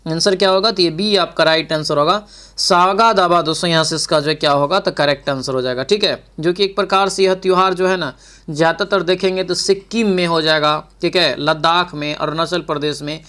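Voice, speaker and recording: A man's voice: high voice, fairly bright tone. A man giving a spoken quiz lesson as an exam coach, reading out questions and repeating answers directly to his learners.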